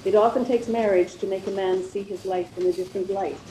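An older woman plays a sensible, prim Edwardian confidante in a radio drama. Her voice is mellow and genteel, with measured wording, heard in a roomy space on a video call. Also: medium pitch, fairly even intonation, slight background noise, close to an everyday voice. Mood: cautioning. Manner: genteel, measured period diction. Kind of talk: radio mystery play acting. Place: room at home, video call.

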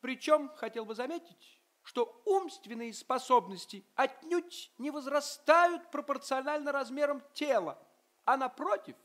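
A tiny, proud traveller with an extremely high, bright and piercing voice. He speaks in formal, emphatic radio-play style, quick to take offence among giants. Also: animated, full character voice. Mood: earnest, courtly. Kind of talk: theatrical radio play acting.

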